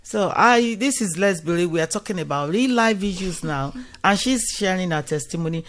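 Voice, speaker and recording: A man's voice: high voice, lively intonation, normal speed, fairly bright tone. A man hosting a radio talk show, speaking encouragingly and using religious phrasing. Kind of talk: casual radio chat. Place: radio studio.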